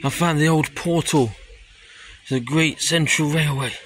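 A man, chatting casually and excitedly to camera as an exploring vlogger. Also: fairly high pitch, unhurried. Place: outdoors on streets and woods, later inside a tunnel. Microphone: handheld camera or phone at arm's length. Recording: noisy handheld recording on location.

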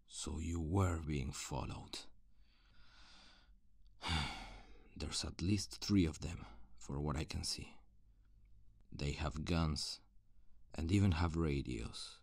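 A man playing a gruff, cynical loner surviving an apocalypse in an ASMR roleplay, terse and guarded, with a gravelly voice. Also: a little mellow, dry recording, clean studio sound, exaggerated voice. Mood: tense, hushed. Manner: low, deliberate, terse and guarded. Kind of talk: ASMR roleplay acting, addressed to the listener.

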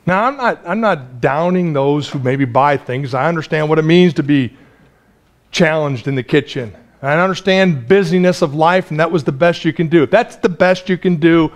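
Lively, chatty male preacher. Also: mid-range voice. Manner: lively, chatty preaching with laughs and repeated restarts. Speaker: pastor. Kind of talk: sermon. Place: small church sanctuary.

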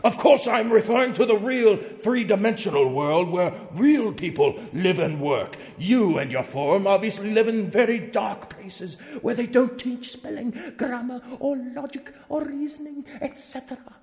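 A man's voice: high voice, animated, normal speed, few pauses. A man voicing a pompous, outraged company executive in a theatrical dramatic reading of threatening emails. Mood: outraged bellowing. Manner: pompous, overwrought, swinging from hushed to shouting. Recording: long echoing hall reverb.

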